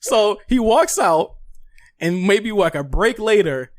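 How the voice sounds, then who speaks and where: A man with a slightly high voice hosts a loose interview chat. He talks in a slangy, conversational way with casual swearing, and the sound is close and dry. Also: lively intonation, slow pace, no room sound. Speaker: podcast host.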